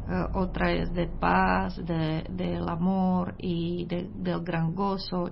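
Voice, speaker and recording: A woman's voice: lowish voice, creaky, highs cut off. A woman who grew up in Czechia, whose first language is Czech, gives a Christmas devotional message in careful, hesitant, non-native Spanish. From Czech Republic. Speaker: missionary preacher.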